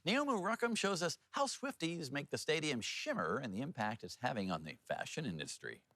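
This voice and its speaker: A man's voice: on the high side, big pitch swings, a little fast, heavy background noise. A man with an American accent anchoring TV news, with an informal, folksy way of talking. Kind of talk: scripted news reading mixed with casual banter.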